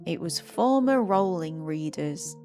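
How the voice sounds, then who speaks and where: A woman reading written online comments aloud in a measured, earnest way. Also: on the low side, animated, mellow, faint music behind.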